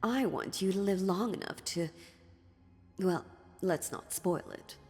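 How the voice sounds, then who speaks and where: A woman playing an ancient, sly and dominant female demon in a dark fantasy audio roleplay, taunting theatrically with deliberate pauses. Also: medium pitch, natural voice. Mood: calm, menacing. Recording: long reverb, music underneath.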